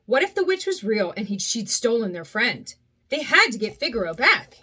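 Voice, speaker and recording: A young adult woman reading a children's storybook aloud with an expressive, animated delivery. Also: on the high side, moderate pace, husky.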